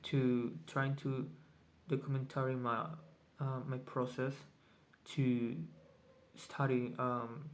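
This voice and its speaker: A man whose first language is Spanish, keeping a casual video journal in English, hesitant and often pausing to search for words. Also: mid-range voice, flat delivery. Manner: very slow, halting speech. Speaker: vlogger.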